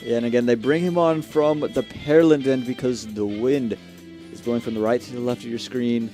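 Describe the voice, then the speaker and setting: Mellow male cricket co-commentator. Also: medium pitch, fairly even intonation, moderate pace, soft background music. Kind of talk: live commentary, casual analysis.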